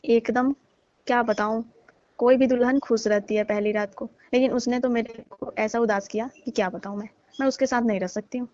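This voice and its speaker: A woman's voice: a little high, fairly even intonation, a little fast, a little mellow, close to an everyday voice. A woman playing an aggrieved young newlywed daughter-in-law in an amateur phone-call drama, plaintive and insistent in everyday speech. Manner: plaintive, repetitive, colloquial. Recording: dull lo-fi phone-call style recording.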